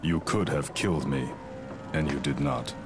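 Very deep, grave ice ninja warrior, cold and distrustful, over loud game music. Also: male voice, moderate pace, gravelly. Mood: stern. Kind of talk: video game cutscene acting.

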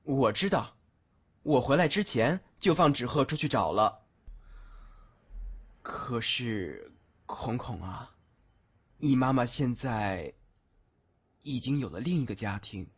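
A man's voice: medium pitch, animated, slow, long pauses, breathy edge, dull audio, exaggerated voice. A man acting in an audio drama as a brash but doting, teasing teenage schoolboy. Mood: gentle. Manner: teasing, affectionate. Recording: band-limited, background music throughout.

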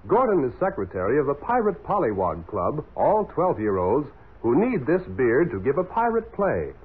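A man's voice: mid-range voice, wildly swooping pitch, normal speed, husky. A man announcing prizes on a 1940s children's radio show. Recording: muffled, tinny 1940s radio recording.

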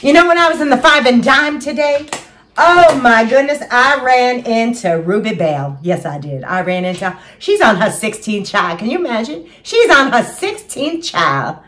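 Lady playing a giddy housewife, gushy and chatty, voice swoops high and low all over. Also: big pitch swings, moderate pace, a bit bright. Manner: gushing, chatty, talks to herself while doing makeup. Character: giddy Southern housewife and mother of seven, superfan, chatty. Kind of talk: comic character monologue.

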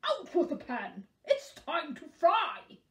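A woman's voice: high voice, huge pitch swings, slow, a bit bright, reverberant, exaggerated voice. A woman voicing a hungry, fussy, bossy king in a children's story, with an emphatic, commanding delivery.

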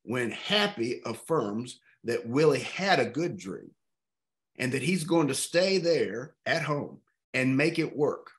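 A man in his fifties from East Texas leading a literature discussion over a video call, expressive and thoughtful, stretching out key words. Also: mid-range voice, slow pace. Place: home room with art on walls.

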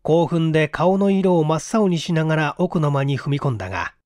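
A man's voice: fairly high pitch, fairly quick, darkish tone, no room sound, studio quality. A man reading a mystery story as dramatic, suspenseful, theatrical audiobook narration. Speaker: audiobook reader.

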